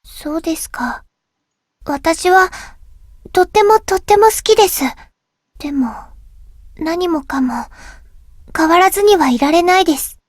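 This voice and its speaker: A woman voicing a shy, wistful teenage schoolgirl in a visual novel, with a soft girlish voice and polite, hesitant phrasing. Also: extremely high pitch, lively intonation, a little fast, long pauses, dry recording, studio quality. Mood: shy, gentle. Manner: polite, hesitant, speaking in short gentle phrases. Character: shy, wistful teenage schoolgirl, recently back from long absence. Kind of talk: Japanese visual novel voice acting.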